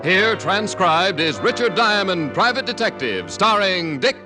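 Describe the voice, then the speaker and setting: A man announcing an old-time radio show in a booming, dramatic, proclaiming style. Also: very animated, a little slow. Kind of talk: grand 1940s-50s radio show introduction. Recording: old radio recording under loud brassy music.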